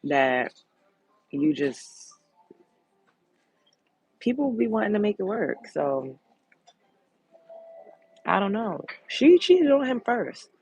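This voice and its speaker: A woman hosting a casual livestream chat, reading out comments in a candid, blunt way, with a deep, sultry voice. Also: a little mellow, breathy edge, no echo, rough recording. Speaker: host.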